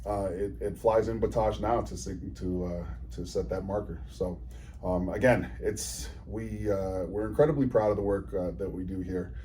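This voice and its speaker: A man in his forties talking in a relaxed interview, easygoing and earnest, often repeating and restarting words. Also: deep voice, normal speed, slight background noise. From New Zealand, raised in Ontario, Canada. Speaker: guest, charity executive. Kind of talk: casual interview conversation.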